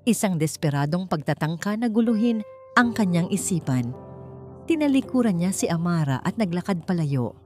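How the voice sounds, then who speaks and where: A woman with a fairly low voice narrates a dramatic romance story. Her delivery is solemn and emotive, and music plays underneath. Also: lively intonation, moderate pace, dark tone.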